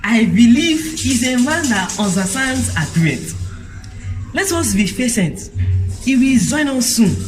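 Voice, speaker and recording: A woman with a Nigerian accent playing a composed, cryptic member of a powerful cabal in a radio drama, measured and patient. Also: fairly high pitch, very animated, faint music behind.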